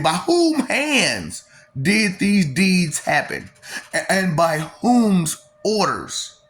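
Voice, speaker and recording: A man's voice: fairly high pitch, fairly bright tone. Preachy man with a drawling monologue. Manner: preachy, rhetorical questions, lots of filler. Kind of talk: casual monologue.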